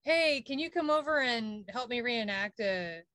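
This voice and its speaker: A woman with a slightly higher voice chats animatedly on a podcast, throwing in exasperated asides as she tells her story. The sound is close and dry. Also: lively intonation, a little slow, fairly bright tone, no echo. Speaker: podcast co-host. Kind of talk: casual chat.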